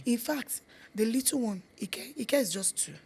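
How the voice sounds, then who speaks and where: A middle-aged Nigerian woman speaks with a Yoruba accent in a relaxed interview, her voice husky and a touch high. She is earnest and emphatic, circling back to repeat her points and dropping in Yoruba phrases. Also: normal speed. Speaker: actress, interview guest. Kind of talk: casual interview chat.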